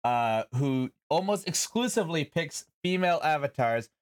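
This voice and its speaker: A man's voice: fairly high pitch, expressive, normal speed, smooth. A man who streams, talking in a casual, rambling chat and often breaking off his own sentences. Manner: rambling, self-interrupting. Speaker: streamer, podcast host.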